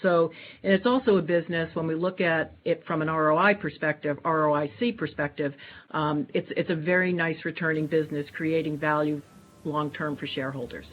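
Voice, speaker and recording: A woman speaking as a finance executive on an earnings call, explaining in a measured way. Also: low-pitched, fairly even intonation. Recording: dull conference call audio.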